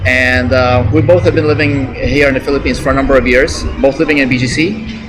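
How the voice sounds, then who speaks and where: Male vlog host, from the US, thirties, mid-pitched, relaxed chatter, music under the voice. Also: a little fast, rough recording. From United States. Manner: easygoing, chatty, with fillers. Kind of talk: casual interview chat. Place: outdoors on a city street, then in a restaurant.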